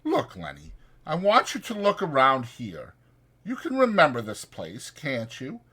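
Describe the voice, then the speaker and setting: Man acting a raised-pitch, bossy ranch drifter. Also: wildly swooping pitch, creaky, bright, forceful tone, full character voice. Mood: instructive, stern. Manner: brisk, insistent, colloquial delivery. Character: small, sharp, irritable male ranch drifter, bossy yet protective.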